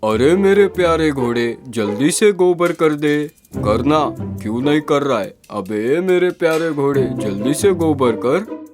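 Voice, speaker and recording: A man voicing a bossy, grumbling older comic partner in an animated story, with scolding, teasing, drawn-out delivery. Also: fairly high pitch, music in the background. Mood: bossy, coaxing.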